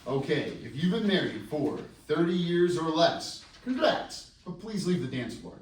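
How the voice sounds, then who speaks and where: A man at a screenplay table read playing a smooth-talking, scheming, sardonic wedding DJ. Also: fairly high pitch, sing-song, unhurried. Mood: announcing. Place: festival table read in a room. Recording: roomy, distant mic, faint noise.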